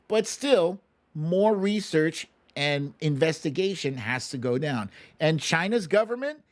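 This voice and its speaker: An American man hosting a live online talk show, chatting casually with viewers in an opinionated, emphatic, conversational way. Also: fairly high pitch. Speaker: host of a livestream talk show.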